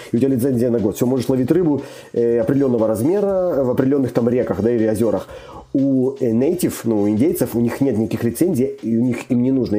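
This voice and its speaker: Fast-talking man with a dark voice. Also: mid-range voice. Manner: rambling, many fillers and restarts. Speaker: immigrant guest. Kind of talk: casual conversation.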